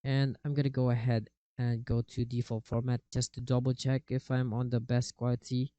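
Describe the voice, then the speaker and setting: A man walks through a software tutorial in a mellow, relaxed voice. His sound is smooth and close, with very little room to it. The phrasing is casual and a bit halting as he moves from step to step. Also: mid-range voice, no room sound. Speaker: tutorial host. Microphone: cheap desktop microphone with software processing.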